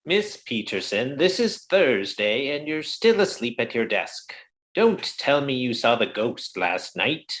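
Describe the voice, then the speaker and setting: A woman's voice: rumbling low, sing-song, exaggerated voice, low-quality recording. A woman playing a grumpy, pompous male office boss with a stern, sarcastic manner in a 1950s-style radio comedy. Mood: exasperated, sarcastic. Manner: stern, withering, deliberate.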